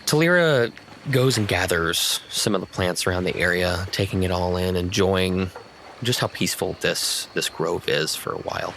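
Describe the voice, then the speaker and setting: A man's voice: sits low, moderate pace, creaky, fairly bright tone, slight background noise. A man acting as game master, narrating a tabletop roleplaying game in descriptive, conversational prose.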